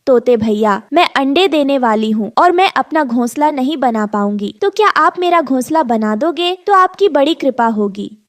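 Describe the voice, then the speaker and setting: A woman's voice: sits high, expressive, moderate pace, hardly any pauses, dry recording, studio quality. A woman playing a worried, weary expectant mother bird in a cartoon story, polite, pleading and fretful. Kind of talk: animated moral story dub.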